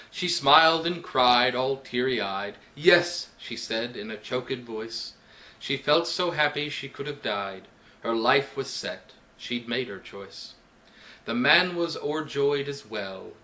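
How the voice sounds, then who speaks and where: A man reading his own poetry in a dramatic narrating style, with measured, emphatic phrasing and pauses. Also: mid-range voice, sharp tone, rough recording. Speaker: poet reading own work.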